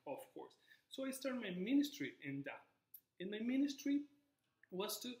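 A man in his twenties from Brazil with a Brazilian Portuguese accent, earnestly sharing his personal testimony to a camera in a living room. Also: high-pitched. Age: 20s. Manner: earnest, gestures with both hands. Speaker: Bible college student. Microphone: camera in front of him. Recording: echoey room.

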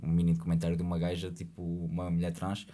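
Casting: rapid-fire, deep-voiced Portuguese podcast host, rambling and frank, studio mic. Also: male voice, monotone, warm tone. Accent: European Portuguese. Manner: rambling, frank, peppered with fillers. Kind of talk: casual podcast chat. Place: small podcast studio with foam panels. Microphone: boom-arm studio mic.

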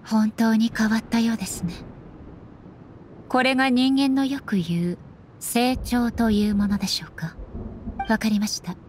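A woman playing a stern, solemn immortal warrior goddess in a game, speaking formally and with measured deliberation. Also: fairly high pitch, steady tone, a little hiss or hum, lightly acted. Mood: resolute. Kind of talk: dramatic game voice acting. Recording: background music under the voice.

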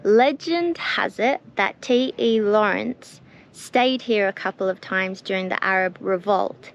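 A young woman narrating a travel vlog in a bright, enthusiastic, chatty way, filming herself on an action camera held at arm's length. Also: medium pitch, unhurried, dull audio. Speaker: travel vlogger.

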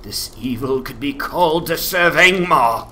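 A man's voice: on the high side, animated, measured pace, pushed and bright, slight background noise, exaggerated voice. A man playing a grave, injured ranger in a fantasy quest dramatization, speaking in a solemn, formal, dramatic way. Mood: urgent. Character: grave, injured male ranger giving orders in fantasy game.

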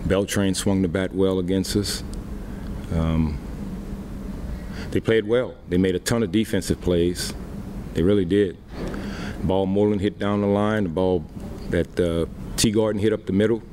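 A middle-aged baseball manager answering reporters' questions at a post-game press conference, plain-spoken and unhurried with many fillers, into a desk microphone. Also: male voice, deep voice, fairly even intonation, background noise.

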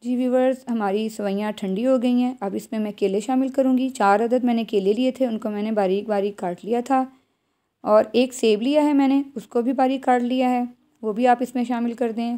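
Home cook, female, Pakistani accent, mellow and a little high, instructive tutorial narration. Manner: instructive, addressing viewers directly. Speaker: home cook presenting a recipe. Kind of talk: step-by-step cooking tutorial voice-over.

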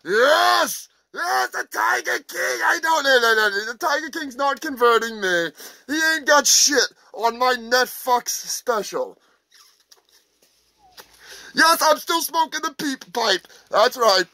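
A man's voice: very high-pitched, expressive, slow, gravelly, bright, forceful tone, no echo, full character voice. A man playing a manic, meth-smoking roadside zoo owner who rants profanely and boasts like a showman. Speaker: comedian in character. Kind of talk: crude sketch comedy monologue.

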